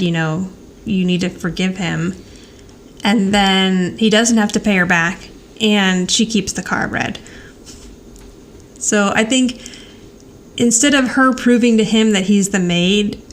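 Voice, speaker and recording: A woman co-hosting a podcast in a casual, chatty way. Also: medium pitch. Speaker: podcast co-host. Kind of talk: casual chat. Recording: faint background noise.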